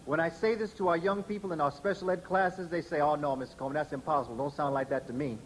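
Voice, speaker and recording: A man delivering a public lecture, emphatic and deliberate, slowing down to spell out letters one by one. His voice sits a little high for a man. A faint hiss of noise runs under the recording. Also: fairly even intonation, rough recording.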